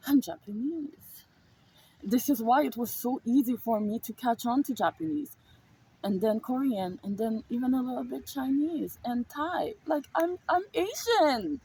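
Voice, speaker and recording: A woman's voice: medium pitch, warm tone, slight background noise, poor audio. A woman vlogger telling a personal story in a casual monologue, emphatic and repetitive, swearing freely. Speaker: spiritual vlogger.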